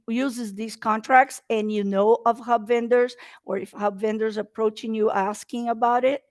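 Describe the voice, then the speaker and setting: A woman's voice: on the high side, a little mellow, dry recording. A woman from Brazil with a Brazilian Portuguese accent, presenting a webinar in earnest, slightly non-native English. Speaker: state procurement presenter.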